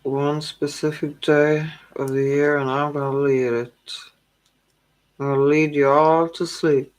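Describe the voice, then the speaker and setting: A tired woman hosting a tarot livestream, chatting casually with her viewers in a slow, drowsy, calming way. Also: deep voice, rough recording.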